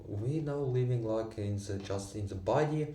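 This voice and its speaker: A man who speaks Tatar and Russian natively, talking in halting English with many fillers and restarts in a personal vlog. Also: on the low side, dark tone, reverberant, far from the mic. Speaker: vlogger. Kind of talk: unscripted personal monologue.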